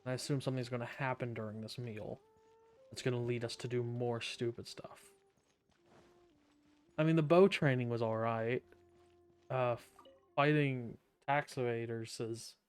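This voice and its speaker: A woman's voice: rumbling low, fairly even intonation, measured pace, pauses often, darkish tone, smooth. A woman streaming a video game, making casual sarcastic comments over it. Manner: sarcastic, joking asides to the game.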